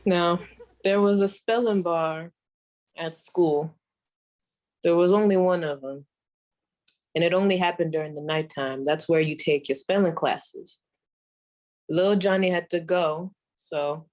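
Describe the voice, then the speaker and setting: A woman playing a sassy old 1940s radio comic, telling stand-up stories in a folksy, deadpan way with drawn-out pauses. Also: on the low side, lightly acted. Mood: wry storytelling. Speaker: comedian performing a monologue.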